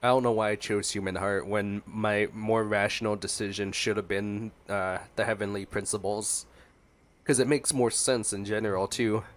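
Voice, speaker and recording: A man's voice: fairly low pitch, fairly even intonation, moderate pace. A man giving casual, chatty, playful reaction commentary as a gaming streamer. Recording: game music behind the voice. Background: game music.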